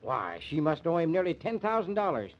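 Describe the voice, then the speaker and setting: An actor with an American accent playing a folksy, scheming small-town sheriff in an old-time radio drama, with a rustic drawl. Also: male voice, on the high side, very animated, brisk. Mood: defensive, flustered. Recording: muffled, noisy old radio recording.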